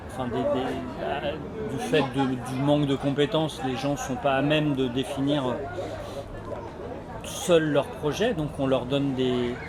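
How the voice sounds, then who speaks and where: Hesitant man amid very loud crowd hubbub. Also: mid-range voice. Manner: hesitant, halting, searching for words. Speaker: energy renovation association representative. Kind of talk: interview answers, explanatory. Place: community associations forum in a sports hall.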